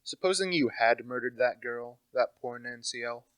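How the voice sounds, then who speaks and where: Pemuda memerankan detektif cermat, suara sangat kering tanpa gema. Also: male voice, mid-range voice, normal speed, a bit bright, clean tone, dry recording, natural voice, rough recording. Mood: probing. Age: young adult. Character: precise, brooding, intellectual gentleman detective. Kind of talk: radio play acting.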